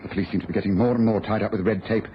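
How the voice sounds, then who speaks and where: An actor playing a brisk, exacting English gentleman detective in a vintage radio drama, with an incisive, questioning, faintly impatient manner. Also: fairly low pitch, steady tone, close to an everyday voice. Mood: irritated. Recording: muffled, noisy old radio recording.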